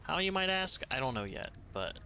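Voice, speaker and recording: A man's voice: on the high side, very animated, moderate pace, pushed and bright, slight background noise. A man streaming a factory-building game live, thinking aloud with playful asides to himself. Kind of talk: live gameplay commentary.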